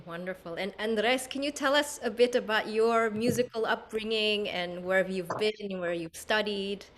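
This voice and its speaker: A woman's voice: mid-range voice, normal speed. Woman host asking long questions. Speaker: host and pianist. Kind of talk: interview host.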